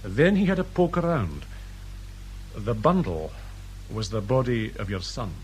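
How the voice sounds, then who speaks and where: A man's voice: fairly low pitch, big pitch swings, measured pace, a little hiss or hum, rough recording. A man playing a dutiful, polite British police sergeant in a radio crime drama, deferential and matter-of-fact.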